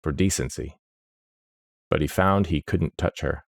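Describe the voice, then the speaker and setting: Male audiobook narrator for dark fantasy; unhurried, grave, measured delivery. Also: rumbling low, creaky, dry recording.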